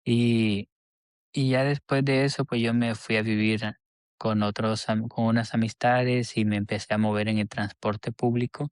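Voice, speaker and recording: A man's voice: fairly low pitch, flat delivery, a little slow, clean tone, no echo, clean studio sound. A man from El Salvador, a podcast guest who talks in a hesitant, earnest way and often restarts his sentences. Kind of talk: casual interview chat.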